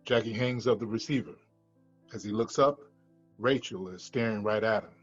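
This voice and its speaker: Male narrator, mid pitch, reads stage directions, dull recording with faint music. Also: normal speed. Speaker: screenplay narrator. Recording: dull lo-fi sound, music behind.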